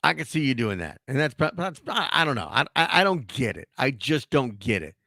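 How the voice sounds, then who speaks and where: A hoarse man hosting a sports talk show, ranting in a casual, animated way with frequent restarts. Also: mid-range voice, fairly quick, no echo, studio quality.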